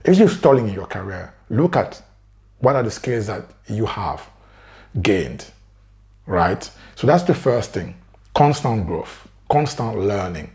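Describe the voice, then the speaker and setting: Low gravelly man with a French accent. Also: big pitch swings, a little slow, a little mellow. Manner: earnest, keeps asking "right?". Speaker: career coach. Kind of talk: direct-to-camera advice talk.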